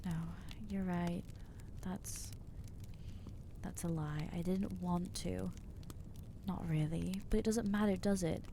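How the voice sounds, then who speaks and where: A woman voicing an anxious, guilt-ridden young female vampire in an ASMR roleplay, speaking haltingly and stammering as she reproaches herself. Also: on the low side, fairly even intonation, creaky, noisy background. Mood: anguished.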